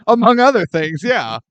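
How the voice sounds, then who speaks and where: A man co-hosting a relaxed film review podcast, animated and full of jokes, swinging his voice widely up and down. His pitch sits a little high for a man. The sound is dry and close, with no room around it. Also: dry recording, muffled recording. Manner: animated and jokey, slips into mock voices. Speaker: podcast co-host. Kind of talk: casual film review chat.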